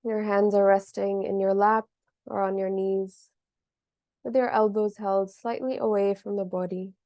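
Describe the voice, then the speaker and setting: A woman leading a guided meditation as a teacher, speaking gently and in a measured way with long pauses. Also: mid-range voice, little pitch movement, no room sound, studio quality.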